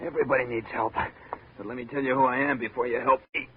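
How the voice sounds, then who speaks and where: An actor playing a weary, cynical small-town architect with a sardonic, literate way of talking in a 1950s radio drama. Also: male voice, medium pitch, fairly even intonation, quick pace, close to an everyday voice. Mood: bitter, weary. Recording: tinny, hissy 1950s radio recording.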